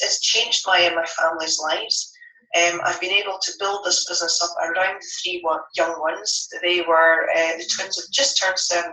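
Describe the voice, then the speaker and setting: A woman's voice: on the low side, bright, forceful tone, far from the mic. A woman in her thirties, stuffy from a head cold, giving an upbeat, emphatic motivational training talk as a network marketer. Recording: roomy echo, voice set back from the mic.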